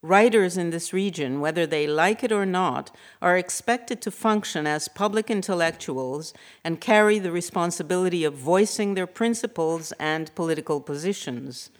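A middle-aged woman, a writer and academic reading a prepared lecture in a measured, formal way. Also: on the low side. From Hungary. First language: Hungarian.

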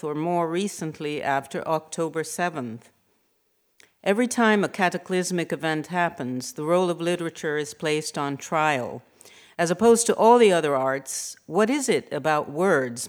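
A middle-aged woman, a writer and academic reading a prepared lecture in a measured, formal way. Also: low-pitched. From Hungary. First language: Hungarian.

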